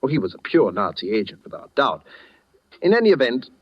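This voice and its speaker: A man's voice: medium pitch, very animated, a little fast. Suave male crime mastermind, silkily polite menace, 1940s radio suspense, muffled vintage broadcast sound. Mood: pleased, confiding. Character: suave, courteous, cunning male master criminal posing as director.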